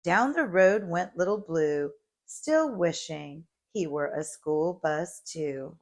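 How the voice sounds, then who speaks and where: A woman reads a picture book for preschoolers. Her delivery is very slow and deliberate, with lively swings in pitch and an expressive storytelling manner. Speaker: children's read-aloud host.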